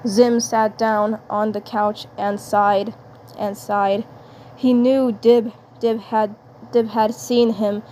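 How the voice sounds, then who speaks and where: A woman narrating a fan fiction script haltingly, often repeating words and phrases. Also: medium pitch, warm tone. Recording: clear background noise.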